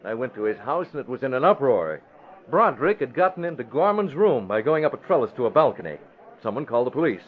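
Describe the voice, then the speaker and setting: Brisk, commanding vigilante newspaperman, played by a man. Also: mid-range voice, sing-song, natural voice. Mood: urgent, businesslike. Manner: clipped, authoritative, decisive. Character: bold, commanding newspaper publisher and masked vigilante. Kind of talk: 1940s radio drama acting. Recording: dull vintage radio recording.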